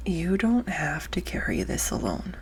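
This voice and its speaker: Woman acting a low-voiced, awkward, kind young woman. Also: very animated, slight background noise. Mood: soft, sincere. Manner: nervous rambling, halting, self-correcting, earnest. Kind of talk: intimate audio roleplay monologue. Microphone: close USB condenser microphone.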